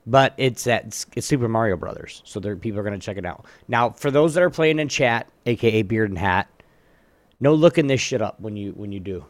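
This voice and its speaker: A man's voice: fairly low pitch, animated, fairly quick, no echo. A man hosting a casual gaming talk show, chatting informally and running a trivia game.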